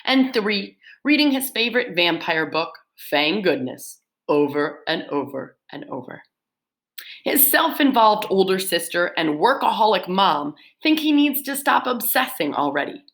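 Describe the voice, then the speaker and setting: Lively, expressive woman teacher. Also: measured pace. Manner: lively, expressive read-aloud with swooping pitch. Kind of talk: reading book summaries aloud to students.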